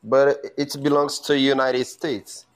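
A man's voice: medium pitch, little pitch movement, slow. A young man from Brazil joining a live call as a guest, speaking careful, hesitant second-language English. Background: music playing.

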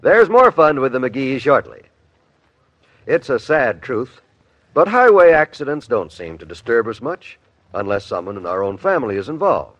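A man reading a scripted public service message as a radio announcer, in an earnest, deliberate, formal manner. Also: mid-range voice, sing-song, a bit bright, dry recording. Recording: dull, band-limited old radio recording.

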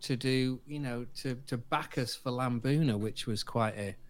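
A man's voice: medium pitch, moderate pace. A man chatting casually as a guest on a show, over an internet call. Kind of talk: casual chat. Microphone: remote internet call.

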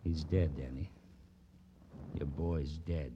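An actor playing a slick, cynical double-crosser in an old radio crime drama, with a smooth, sardonic manner. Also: male voice, extremely low pitch, fairly even intonation, slow pace, lo-fi sound. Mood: grim, taunting. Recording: noisy old radio broadcast.

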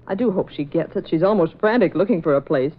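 An actress playing a practical, businesslike newspaper secretary, chatty and brisk, in 1940s radio style. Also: female voice, on the low side, lively intonation, slight background noise, lightly acted. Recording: dull lo-fi old radio recording.

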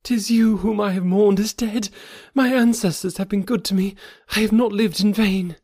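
A man's voice: high-pitched, steady tone, moderate pace, darkish tone, no room sound, clean studio sound, voice pushed up. A man voicing a devoted young woman who speaks fervently in formal, old-fashioned phrasing. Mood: ardent.

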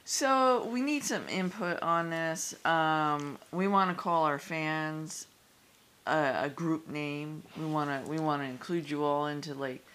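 A woman's voice: deep voice, a little slow, a bit bright. A woman co-hosting a casual podcast, giggly and playful as she chats. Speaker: podcast co-host. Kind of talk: casual chat.

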